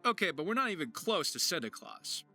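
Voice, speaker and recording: A man presenting a voice acting tutorial to viewers in an animated, enthusiastic explaining manner. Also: a little high, a little fast, gravelly. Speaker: voice actor, writer and video presenter. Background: music.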